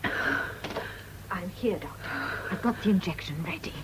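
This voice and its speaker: An actress playing an efficient, polite, obedient nurse in a vintage radio drama, with a British accent and a businesslike manner. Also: female voice, fairly low pitch, lively intonation, a little slow, in a whisper.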